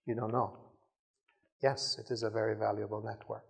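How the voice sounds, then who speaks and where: Gravelly-voiced man giving a leadership talk. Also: on the low side, flat delivery, moderate pace. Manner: conversational, stumbles and repeats words, acts out sample dialogue. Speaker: conference speaker.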